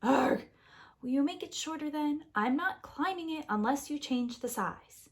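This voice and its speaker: A woman's voice: high-pitched, animated, measured pace, voice pushed up. A woman voicing a whiny, reluctant fairy-tale boy who complains and talks back in a children's storybook. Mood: indignant. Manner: complaining, protesting, exclaiming. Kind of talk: children's storybook read-aloud.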